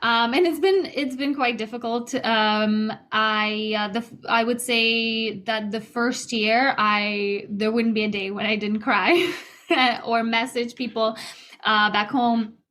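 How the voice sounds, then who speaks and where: Earnest woman from Ukraine, halting speech. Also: on the high side, steady tone, a bit bright. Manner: earnest, halting with many restarts and fillers. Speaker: guest musician. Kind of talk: remote interview conversation. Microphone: video call.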